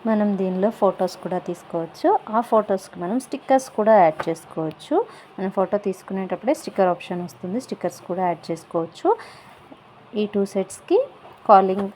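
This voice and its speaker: A woman's voice: mid-range voice, mellow, a little hiss or hum, poor audio. A woman giving a casual product review voice-over, explaining things in simple, repeated steps. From India. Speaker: product review vlogger and parent.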